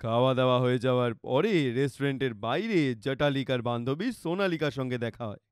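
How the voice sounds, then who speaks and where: A man's voice: medium pitch, fast talker, clear, resonant tone, no room sound, clean studio sound. A man narrating a scripted cartoon fairy tale as the storyteller.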